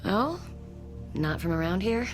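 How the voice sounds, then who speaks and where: A woman's voice: medium pitch, big pitch swings, unhurried, faint music behind. A woman playing a wary, grieving widow in a radio drama, hesitant and guarded but polite.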